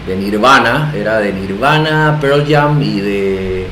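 A middle-aged man with a Panamanian accent, talking casually as an interview guest, animated and self-deprecating, repeating phrases for emphasis. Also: fairly low pitch, takes it slowly. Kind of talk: casual interview conversation, storytelling. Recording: echoey room, faint background noise.

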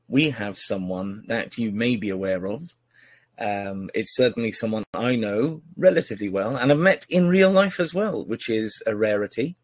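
A man's voice: lowish voice, dry recording. A man hosting a casual podcast interview, chatty and teasing, with many fillers and restarts. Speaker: podcast host.

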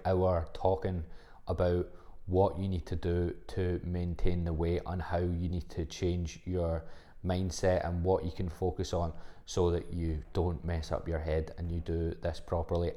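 A man hosting a solo podcast, talking casually and bluntly, rambling with fillers and the odd swear word. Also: sits low. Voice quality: soft-spoken. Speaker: podcast host and fitness coach.

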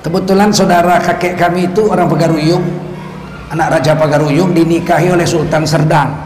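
A man's voice: on the high side, steady tone, fairly quick, darkish tone, slight background noise. A male Islamic preacher, giving a religious lecture laced with sarcastic political commentary and rhetorical questions to the audience. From North Sumatra, Indonesia.

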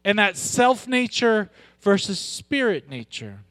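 A man preaching a sermon with a loud voice, moving from joking, conversational asides to slow, weighty emphasis on scripture. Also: high-pitched, sing-song, no room sound. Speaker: pastor.

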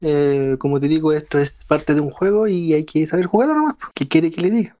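Podcast co-host guy, a bit high-pitched, blunt and dry, drops swears casually. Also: fairly quick, mellow.

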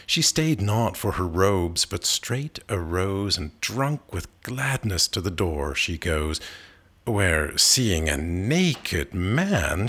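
Slow, low-voiced man reading verse. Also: fairly bright tone. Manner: measured, dramatic phrasing with pauses. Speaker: reader of poetry. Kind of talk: expressive literary recitation of narrative verse.

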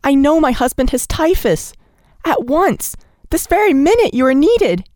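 A woman's voice: high voice, lively intonation, a little slow, dark tone, dry recording, studio quality, pitched above the performer's own voice. A woman reading aloud voices a young wife who sobs and begs a doctor to come. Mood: desperate pleading. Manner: sobbing, begging, insistent. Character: young wife, frantic and pleading.

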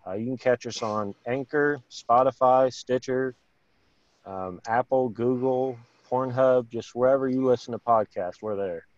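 A man from Kansas, a podcast guest, pitching his show in a laid-back way with lots of fillers. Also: unhurried, dry recording. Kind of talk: casual chat. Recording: dry, slightly muffled mic.

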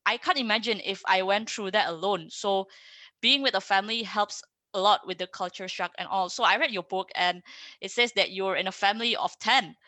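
A woman's voice: medium pitch, pushed and bright, no echo. A woman from Indonesia hosting an interview on a video call, asking questions in a friendly, encouraging way. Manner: friendly, encouraging, quick laughs. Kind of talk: casual interview.

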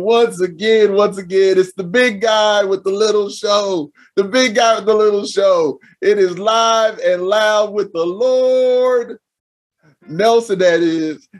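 A man hosting an interview show who talks with energy and repeats his phrases for emphasis. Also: sits high. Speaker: podcast host. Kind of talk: hyped-up show introduction and casual interview.

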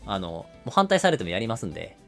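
A man's voice: on the low side, very animated, quick pace, fairly bright tone. A man of about forty from Japan, co-hosting a radio show and explaining things in casual conversation. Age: around 40. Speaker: radio show co-host, marketer. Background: background music.

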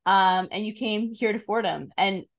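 A woman with a mid-range voice hosting an interview podcast. She asks a guest friendly, clearly structured questions. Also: medium pitch, normal speed. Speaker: podcast host.

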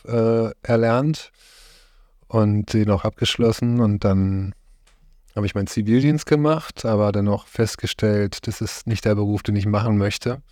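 A middle-aged man from Germany, interviewed about his life, speaks in a relaxed, conversational way, often hesitating and searching for his words. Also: on the low side, unhurried, dry recording, clean studio sound. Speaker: interviewee, farm host.

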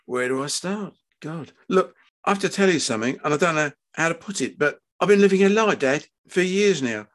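A man's voice: fairly high pitch, lively intonation, fairly quick, pitched above the performer's own voice. A man voicing an earnest, anxious grown child in a story reading, hesitant and pleading with a parent. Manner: hesitant, pleading, soft-spoken.

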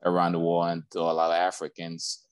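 A man's voice: low-pitched, completely flat delivery, brisk, clean tone, dry recording, studio quality. A man in his forties from Liberia, reflecting on his life as a guest in a casual interview, with lots of "like" and "you know".

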